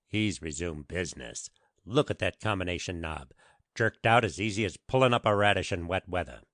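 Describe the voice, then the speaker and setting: Deep-voiced shrewd police detective played by a man. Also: moderate pace, a bit bright, no room sound, clean studio sound. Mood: assured, determined. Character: shrewd, confident veteran male police detective. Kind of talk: dramatic audiobook acting.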